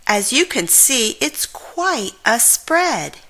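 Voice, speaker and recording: A woman reads a children's story aloud for young readers. Her delivery is slow and deliberate, with very lively, expressive intonation. The tone is strongly bright and forward. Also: a little high. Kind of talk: children's read-aloud narration.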